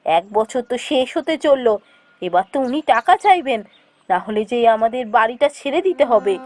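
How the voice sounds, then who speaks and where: A woman's voice: fairly high pitch, animated, fairly quick, darkish tone, natural voice. A woman voicing a poor, anxious village wife in a cartoon fairy tale, pleading and emotive. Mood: worried.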